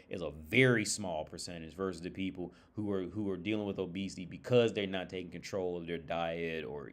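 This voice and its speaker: A man with a lowish voice hosts a livestream on his own. He talks loosely and informally, as if thinking aloud. His speech is peppered with swearing, and he often repeats or restarts a phrase before moving on. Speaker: livestream host. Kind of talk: casual rambling livestream monologue with anecdotes.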